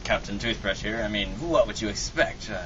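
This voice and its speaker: A man's voice: lowish voice, a little fast, a bit bright, close to an everyday voice. A man playing a vain, pompous adventure hero in a comic radio drama, speaking boastfully. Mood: evasive. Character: vain, pompous heroic airship adventurer captain. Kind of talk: comic old-time radio acting. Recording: muffled recording with hiss.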